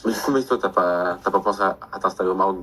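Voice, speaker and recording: A man's voice: deep voice, racing, sharp tone, faint music behind. A man chatting casually, putting in brief informal questions and interjections. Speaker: participant in a group discussion. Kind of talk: casual chat.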